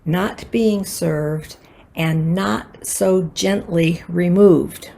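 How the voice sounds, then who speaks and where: An older American woman reading a prepared personal reflection for a worship service in a measured, earnest manner. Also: on the low side, vocal fry, warm tone, slight background noise. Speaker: worship associate.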